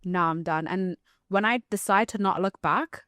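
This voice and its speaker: A woman hosting a podcast in a casual, candid conversation, telling stories in an animated, slangy way. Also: medium pitch, normal speed, dry recording, clean studio sound. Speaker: podcast host.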